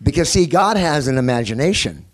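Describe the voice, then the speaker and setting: A man's voice: fairly high pitch, animated, fairly quick. A man, married, teaching a congregation as pastor in a chatty style, laughing often and repeating words as he goes. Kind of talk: teaching sermon.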